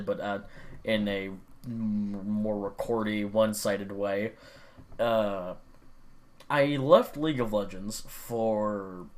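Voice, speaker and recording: A young man rambling casually at his computer about gaming, swearing often and full of fillers and restarts. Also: on the low side. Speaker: gaming vlogger.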